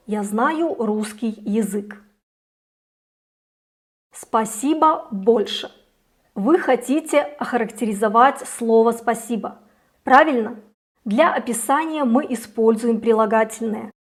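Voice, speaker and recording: A young woman teaching a scripted grammar lesson, saying each example slowly and clearly. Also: on the high side. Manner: slow, careful, clearly articulated examples. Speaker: Russian language teacher.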